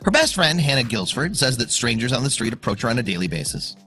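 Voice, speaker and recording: A man narrates a scripted story for online video with suspense and emphasis. His bright, forceful voice sits at a middle pitch and moves in lively swings. Music plays softly behind him. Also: quick pace. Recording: music under the voice. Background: background music.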